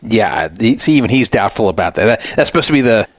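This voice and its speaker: A man's voice: on the low side, expressive, fast, dry recording. Man, talk show host, dry close sound, casual critical commentary, mocking tone. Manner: sarcastic, mocking.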